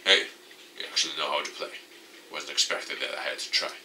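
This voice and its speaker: A man's voice: extremely low pitch, steady tone, fast talker, pushed and bright, distant mic, a little hiss or hum, voice pushed down, exaggerated voice. A man voicing a smug rival pro gamer in a visual novel, condescending and cutting.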